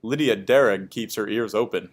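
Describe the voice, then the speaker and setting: A man's voice: lowish voice, moderate pace. A man reading scripted educational history narration aloud for a class.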